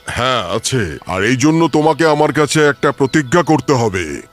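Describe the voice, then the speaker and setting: A man voicing a wise, secretive old crow in a cartoon fairy tale, with a deliberate, conspiratorial way of talking. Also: mid-range voice, sing-song, faint music behind, close to an everyday voice. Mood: secretive, knowing.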